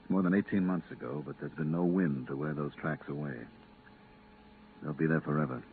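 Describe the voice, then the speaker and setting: An actor playing a weary, blunt veteran at a moon research station, firm and curt, in 1950s radio drama style. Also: male voice, a bass voice, steady tone, fairly quick. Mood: grim. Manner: firm, curt, grimly insistent. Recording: tinny, band-limited, hissy 1950s radio recording.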